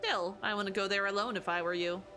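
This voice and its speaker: A woman's voice: mid-range voice, fairly even intonation, fast, over music, close to an everyday voice. A woman voicing a sly, masked young fox girl who teases in a mocking, singsong way. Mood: teasing.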